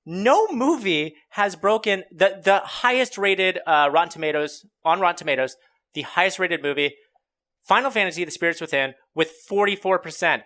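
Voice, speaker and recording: A man with a bright, fairly high voice hosts a casual podcast. He guides the discussion informally, with a joking manner. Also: animated, normal speed. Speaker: podcast host steering segments.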